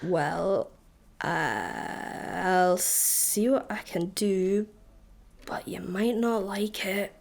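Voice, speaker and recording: A woman's voice: mid-range voice, drawn-out words, vocal fry, exaggerated voice. Sci-fi radio comedy: actress as officious female job centre official, self-important and scornful, yet easily cowed. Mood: pleading. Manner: pompous, jargon-laden.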